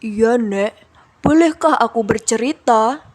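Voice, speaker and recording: A woman's voice: on the high side, takes it slowly. A woman voicing an earnest, polite, lonely young village boy in a children's storybook. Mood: wistful.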